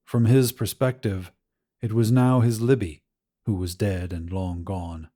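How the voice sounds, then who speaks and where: A man's voice: fairly low pitch, fairly even intonation, measured pace, dark tone. A man narrating a scripted short story as an audiobook reader.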